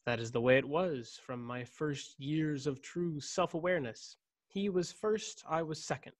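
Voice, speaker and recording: A man's voice: fairly high pitch, natural voice. A man in his thirties playing a brooding, stiffly formal clone in a science-fiction radio play. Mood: bitter, restrained. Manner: measured, stiffly formal diction. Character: brooding, formal young man, secret clone of noble family. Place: home room on video call. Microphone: desk condenser microphone, headset.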